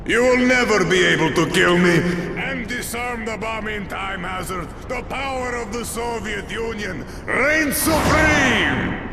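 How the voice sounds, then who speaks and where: An actor playing a bombastic, fanatical Soviet general with a Russian accent, declaiming pompously in a video game. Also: male voice, high voice, animated, a little slow, a loud crowd. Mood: gloating, defiant. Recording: distant, reverberant, over loud engine noise.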